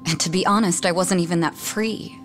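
A woman's voice: lowish voice, fairly even intonation, a little fast, soft background music, natural voice. An actress playing a blunt, wounded young woman in a video game, speaking frankly and with emotion. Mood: regretful. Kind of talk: English game voice acting.

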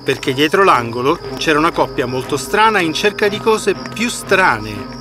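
A man with a fairly high, animated voice narrates a fairy tale. His delivery is expressive and scripted, with clear music playing behind him. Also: normal speed. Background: background music.

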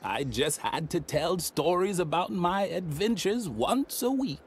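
An impossibly old, befuddled man who drifts from one grand tall tale to the next. His voice leaps up and down in pitch and moves at a slow, dawdling pace. Faint game ambience hums underneath. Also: animated, a little hiss or hum. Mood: rambling. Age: older. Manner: rambling, muddled, boastful tall tales. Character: ancient rambling old man hanging on a hook.

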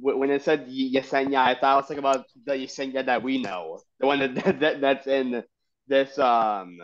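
A man's voice: mid-range voice, steady tone, moderate pace. Man, casual rambling chat, enthusiastic, muffled lo-fi audio. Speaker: reading group participant. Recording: dull lo-fi call audio.

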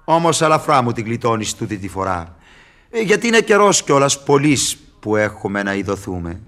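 An actor playing a sly, mocking devil tempter in a theatrical radio verse drama. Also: male voice, mid-range voice, very animated, a bit bright. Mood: mocking, haughty.

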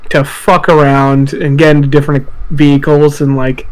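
A man's voice: a little high, flat delivery, normal speed, poor audio. A man co-hosting a casual podcast about video games over voice chat, talking informally with plenty of swearing. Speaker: podcast co-host. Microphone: voice chat call.

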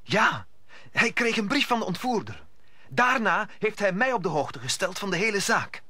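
Young heroic musketeer: high-pitched, lively intonation, earnest radio play declamation. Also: male voice, normal speed, dry recording, exaggerated voice. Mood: earnest, courteous.

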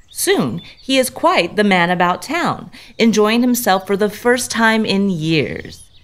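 A woman narrating a Victorian mystery drama in a theatrical, suspenseful scripted style. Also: medium pitch.

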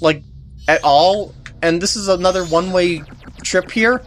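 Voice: medium pitch, measured pace. A game walkthrough host giving casual, rambling commentary with mock exasperation.

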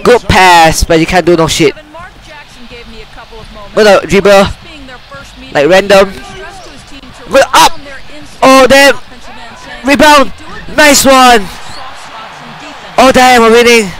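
A young man with a high, excitable voice yells, laughs and trash-talks his way through a basketball video game. He talks close into a headset mic in a small room, jumping between chatter and sudden shouts. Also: slow pace, pauses often, poor audio. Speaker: gamer. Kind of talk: excited gameplay commentary.

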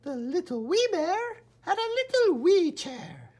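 A man voicing a tiny, childlike little bear from a storybook in a squeaky, singsong voice. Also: big pitch swings, slow pace, a little mellow, exaggerated voice. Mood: cute. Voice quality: squeaky, very high-pitched.

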